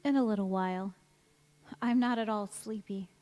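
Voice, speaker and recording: A woman's voice: mid-range voice, moderate pace, warm tone, dull audio, close to an everyday voice. A woman acting in a stage radio play as an anguished, devoted young wife who is cursed never to age, speaking in an earnest, melodramatic way. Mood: tender, sad. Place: large reverberant church or hall. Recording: echoey hall, music behind.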